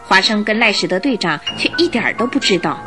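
A woman's voice: mid-range voice, very animated, fast. A woman delivering dramatic, suspenseful scripted narration for a radio drama. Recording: radio drama with music underneath.

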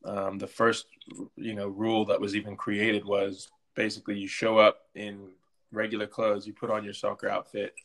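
A man with a fairly low voice answers interview questions as a coach. His intonation stays very flat. He talks informally, often doubling back on his words. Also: normal speed. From Belgium. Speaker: interview guest, soccer coach and team captain. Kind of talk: conversational interview.

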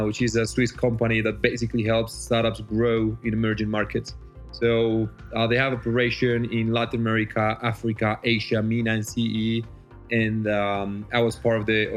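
Low-voiced Colombian man, easygoing. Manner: friendly, with fillers and restarts. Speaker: guest. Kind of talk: casual interview answers.

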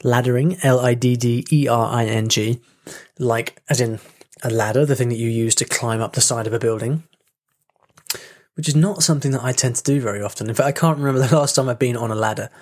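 A man's voice: medium pitch, fairly even intonation, fairly quick, a little mellow. Chatty male podcast host. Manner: chatty, self-interrupting with fillers and laughs. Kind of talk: casual solo podcast talk.

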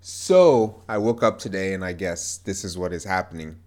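A man's voice: low-pitched, normal speed, a little mellow. Congested man in casual podcast banter. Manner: joking, teasing banter. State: congested, stuffed-up nose. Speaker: podcast co-host.

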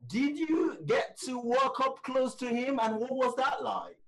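A man's voice: very high voice, expressive, measured pace, vocal fry, distant mic. A man hosting a podcast interview in an enthusiastic, emphatic, conversational manner.

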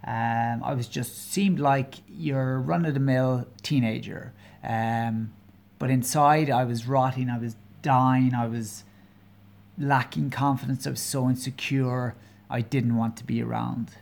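A man of forty with a Kerry Irish accent, hosting his own podcast alone and talking in an earnest, halting way with many pauses and fillers. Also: medium pitch, fairly even intonation, creaky, darkish tone. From Ireland. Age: 40s. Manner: candid, openly personal. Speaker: podcast host. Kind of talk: solo podcast monologue.

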